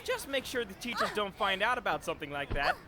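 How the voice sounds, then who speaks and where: A man's voice: sits high, sing-song, quick pace, music in the background. A man acting a goofy, boastful teenage schoolboy in an anime fandub, smug and hammy. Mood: curious, dismissive.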